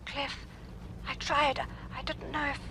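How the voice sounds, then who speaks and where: An actress playing a frightened young woman fighting an unearthly compulsion in a radio drama, speaking in halting, gasping phrases. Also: sits high, level tone, pushed and bright, exaggerated voice. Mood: weak, pleading. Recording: noisy old radio drama recording.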